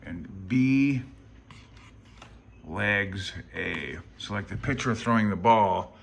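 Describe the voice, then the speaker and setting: A man's voice: on the low side, takes it slowly, creaky. A man giving a casual, rambling commentary on a book, plain-spoken and self-deprecating, speaking as a hobbyist rather than an expert. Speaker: amateur radio hobbyist and vlogger. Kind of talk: casual rambling commentary while paging through a handbook.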